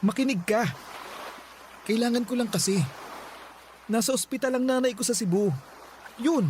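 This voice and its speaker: A shifty Filipino boyfriend in radio-drama style. He speaks in a high voice, stammering and evasive, always apologetic and pleading his case. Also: normal speed, a little mellow, slight background noise. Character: shifty, apologetic live-in boyfriend caught stealing.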